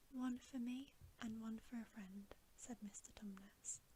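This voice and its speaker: A woman's voice: on the high side, a little hiss or hum, poor audio. Whispering woman reading a bedtime story. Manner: soft, unhurried, breathy whispering. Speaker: ASMR storyteller. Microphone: close microphone.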